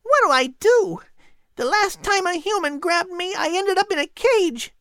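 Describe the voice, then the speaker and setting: A man's voice: very high-pitched, animated, moderate pace, bright, forceful tone, dry recording, studio quality, voice pushed up, exaggerated voice. A man voicing a nervous young male eagle in an anxious, earnest way for a storybook. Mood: frightened.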